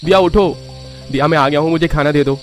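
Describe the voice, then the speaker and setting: A man's voice: fairly high pitch, a little fast, soft background music, voice pushed up, natural voice. A man voicing a frustrated young husband in a cartoon family drama. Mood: weary, pleading. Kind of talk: acted cartoon story dialogue.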